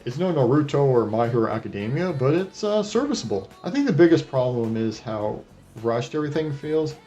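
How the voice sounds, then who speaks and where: A man's voice: medium pitch, big pitch swings, brisk, mellow, dull audio, faint music behind. A man narrating a casual anime retrospective as its host, with an irreverent, sarcastic delivery and profane asides. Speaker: anime reviewer and video host. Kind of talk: casual scripted retrospective narration over clips.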